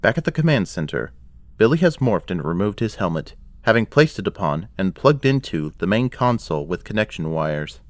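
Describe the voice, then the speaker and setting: A man's voice: on the low side, sing-song, moderate pace, dry recording. A man giving scripted narration for an audio drama, telling the story as its narrator. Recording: faint background noise.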